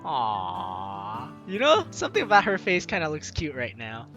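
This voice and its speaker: A man's voice: medium pitch, huge pitch swings, unhurried, bright, forceful tone, highs cut off, soft background music. A man hosting a gaming video, playfully mixing jokey commentary with theatrical read-aloud narration.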